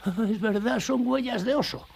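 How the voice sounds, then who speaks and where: A man voicing a cranky, eccentric old gold prospector in a Spanish cartoon dub, muttering excitably in a gravelly, high voice. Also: moderate pace, full character voice. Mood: flustered. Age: older. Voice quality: creaky, gravelly, high-pitched. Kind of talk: animated cartoon dub acting.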